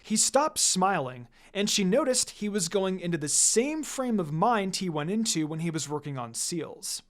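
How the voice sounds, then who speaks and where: A narrator reads a scripted piece of fan fiction aloud. The delivery moves up and down in a lively way at a middling pitch, and music plays behind the voice. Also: moderate pace.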